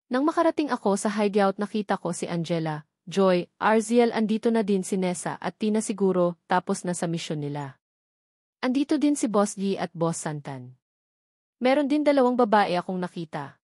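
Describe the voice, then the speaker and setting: Female audiobook narrator, mid pitch, scripted first-person romance storytelling, very dry recording. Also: darkish tone, dry recording.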